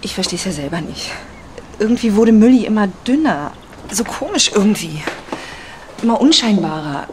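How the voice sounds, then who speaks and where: An actress playing an acquaintance being interviewed, talking in a halting, vague, colloquial and gossipy way. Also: female voice, mid-range voice, sing-song, a little hiss or hum, natural voice. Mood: hesitant. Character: hesitant, gossipy female acquaintance giving a witness interview. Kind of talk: naturalistic mock-documentary interview.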